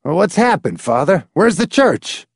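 A man's voice: high voice, very animated, measured pace, vocal fry, husky, no room sound, studio quality, pitched above the performer's own voice, exaggerated voice. A man voicing a desperate grown man who pleads in distress. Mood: pleading. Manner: imploring, distraught.

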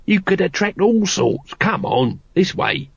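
A man voicing a cowardly, seedy, low-class veteran watchman in an audiobook, with a muttering, slangy, uneducated grumble. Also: very animated, a little slow, creaky, breathy edge, no room sound, dull audio, heavily acted. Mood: grumbling, wary. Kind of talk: audiobook character voice.

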